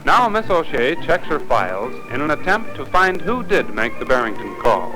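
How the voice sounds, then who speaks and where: An American man reading formal, deliberate, instructive narration for a 1950s training film. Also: mid-range voice, sing-song, low-quality recording. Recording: 1950s film soundtrack, music behind.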